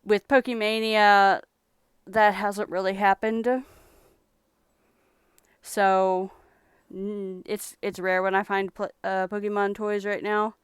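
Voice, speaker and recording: A young woman from Texas talks in a relaxed, rambling way while showing off her thrift finds. Her voice is strikingly clear and smooth. It is recorded close up, with a dry sound. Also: mid-range voice, slow pace, pauses often, no echo. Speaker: streamer reselling thrift finds. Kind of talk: casual live-stream chat.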